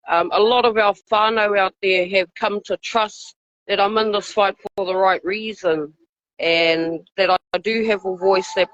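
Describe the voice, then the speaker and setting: Kiwi woman activist being interviewed live, earnest, pauses as she talks, average pitch, dull lo-fi audio. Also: fairly bright tone, dry recording. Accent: New Zealand. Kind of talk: informal live interview account. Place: outside a hospital, live call.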